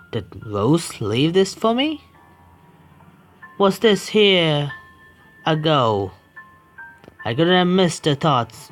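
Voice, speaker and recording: A man's voice: mid-range voice, fairly bright tone. Jittery man reading a horror game aloud. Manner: halting, stumbling reading, scared outbursts at the game. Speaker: gaming streamer. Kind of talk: reading a horror visual novel aloud with reactions.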